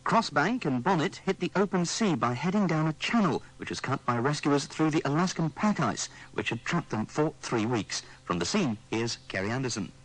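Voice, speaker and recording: A man's voice: a little high, expressive, normal speed, few pauses, fairly bright tone, poor audio. A man reading scripted radio news in a formal manner. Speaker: radio newsreader.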